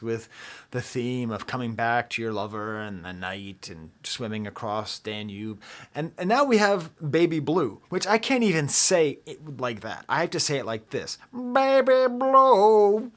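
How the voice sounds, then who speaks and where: Chatty American man, animated swings. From United States. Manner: chatty, laughing, swings from low murmur to excited high bursts. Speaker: album review host. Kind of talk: casual video review.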